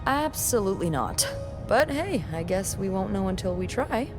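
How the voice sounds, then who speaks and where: An actress playing a wry, warm, teasing young hotel receptionist in a video game, with an expressive, conspiratorial way of talking. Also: female voice, mid-range voice, normal speed, music in the background, natural voice. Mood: relaxed, wry. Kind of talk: naturalistic video game acting.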